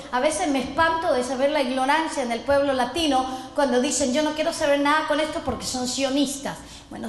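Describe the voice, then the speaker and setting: A middle-aged woman from Chile giving an earnest sermon lesson, reading scripture and asking listeners to repeat after her. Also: high-pitched. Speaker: messianic apostle teaching. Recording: echoey hall, roomy long reverb.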